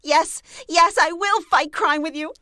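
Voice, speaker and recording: A woman's voice: very high-pitched, a little slow, pushed and bright, no room sound, full character voice. A woman playing an eager, perky superhero sidekick with a businesslike streak in a comedic audio drama, theatrical and punchy. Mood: overjoyed.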